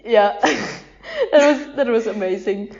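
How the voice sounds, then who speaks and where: Slow-paced woman hosting a casual podcast. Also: a little high, gravelly, a little mellow. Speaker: podcast host. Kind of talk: casual chat, interviewing. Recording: dull lo-fi sound.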